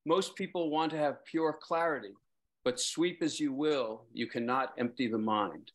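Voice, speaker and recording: A man's voice: medium pitch, unhurried. A middle-aged man, a poet, hesitantly introducing and reading his own verse on a video call. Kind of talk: casual remarks and measured poem reading. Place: lamplit room at home, on a video call. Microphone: computer mic on a video call.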